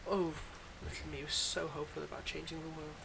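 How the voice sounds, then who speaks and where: A man's voice: fairly high pitch, little pitch movement, a little fast, gravelly, background noise. A man playing a weary, wry supervillain with a secretly kind side, talking conversationally and a little hesitantly in an intimate roleplay audio monologue. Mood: surprised, hopeful.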